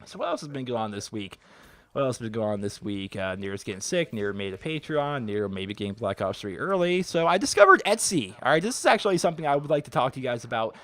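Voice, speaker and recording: Twenties guy from western Pennsylvania, hoarse from a cold, rapid rambling gaming vlogger. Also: mid-range voice, sing-song, fairly bright tone, no room sound. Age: 20s. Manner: rambling, heavy filler use. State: sick, raspy voice. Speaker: gaming YouTuber. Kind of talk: casual vlog chat.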